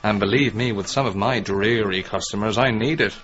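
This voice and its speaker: An actor playing a flirtatious, attentive hairdresser with a coaxing, chummy manner in a radio comedy-drama. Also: male voice, on the low side, a little fast, a bit bright, lo-fi sound, lightly acted. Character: smooth, flirtatious male hairdresser, gossipy and attentive.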